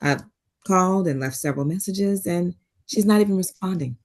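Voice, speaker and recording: A woman playing a composed but worried therapist in a dramatic table read, measured and guarded. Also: lowish voice, darkish tone, lightly acted. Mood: concerned.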